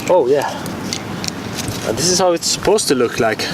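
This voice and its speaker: A man's voice: a little high, animated, moderate pace. A man giving casual running commentary as he repairs his car outdoors, swearing freely and talking to himself. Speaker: vlogger doing car repairs. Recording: loud outdoor noise.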